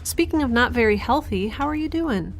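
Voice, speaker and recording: A woman's voice: a little high, animated. Rambling nerdy young woman scientist. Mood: flustered, upbeat. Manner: rambling, halting, talking to herself. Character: chatty nerdy young woman scientist, quirky, stubborn, caring. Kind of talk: audio drama monologue and dialogue.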